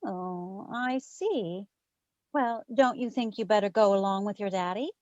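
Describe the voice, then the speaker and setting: A woman playing a sassy, quick-witted private detective, narrating her case in old-time radio drama style. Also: medium pitch, a little mellow, no room sound, lo-fi sound, natural voice. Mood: probing, friendly. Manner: wry, wisecracking.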